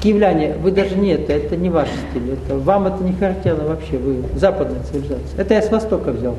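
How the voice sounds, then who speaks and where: A man's voice: fairly high pitch, quick pace, noisy background. A man leading a spiritual seminar, lecturing a live audience in a conversational way with rhetorical questions and wry asides. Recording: roomy hall echo.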